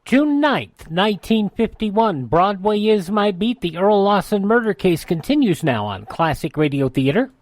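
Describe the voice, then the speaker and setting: A woman's voice: mid-range voice, sing-song, normal speed, few pauses, a little mellow, slight background noise. A woman with an American accent reading scripted ads and programme introductions as a radio announcer.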